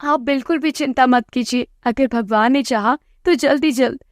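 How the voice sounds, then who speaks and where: A woman voicing a gentle, respectful wife of a poor villager in a cartoon moral story. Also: sits high, moderate pace, no room sound, studio quality, close to an everyday voice. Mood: worried, comforting.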